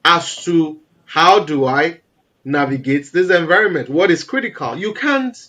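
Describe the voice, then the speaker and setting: A man from Nigeria with a naturally loud voice, talking as an interview guest in an animated, emphatic way. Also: on the high side, a little slow. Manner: animated, emphatic, repeats words for stress. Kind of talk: casual interview conversation.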